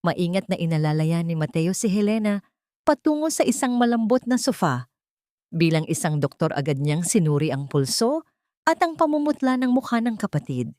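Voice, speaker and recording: Female Filipino storyteller, slightly low voice with lively swings in pitch, measured dramatic narration, close dry sound. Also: no echo.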